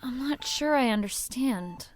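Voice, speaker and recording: High-voiced, naive young granddaughter. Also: female voice, animated, a little slow. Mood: curious, puzzled. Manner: questioning, innocent, puzzled. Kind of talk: audio drama acting.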